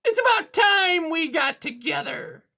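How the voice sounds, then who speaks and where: A woman's voice: very high voice, lively intonation, measured pace, gravelly, bright, forceful tone, voice pushed up, exaggerated voice. A woman voicing a sneering, bullying female cat villain in a children's story, with a menacing, snarling manner. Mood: sneering, threatening.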